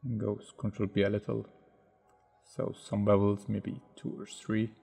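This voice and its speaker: A man narrating a software modeling tutorial in non-native English phrasing, unhurried and thinking aloud step by step. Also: on the low side, monotone, gravelly, breathy edge, soft background music.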